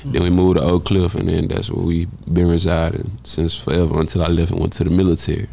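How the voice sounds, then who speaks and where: A young man from Dallas, guest rapper in a casual, slangy podcast-studio interview, speaking into a studio boom mic. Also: completely flat delivery, fast, creaky, over a noisy crowd. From Dallas, Texas. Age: young adult. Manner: slangy, laid-back, swears freely. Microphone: studio mic on boom arm.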